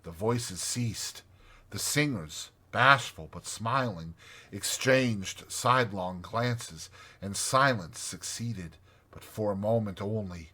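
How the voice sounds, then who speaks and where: A man narrating a classic storybook as a dramatized audiobook, in a measured, expressive manner. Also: on the low side.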